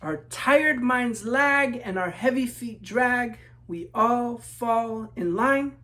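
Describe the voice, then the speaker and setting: A man from New Mexico reading his children's book aloud in measured rhythm, as a storyteller talking to young listeners. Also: very high-pitched, bright, forceful tone. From New Mexico, United States.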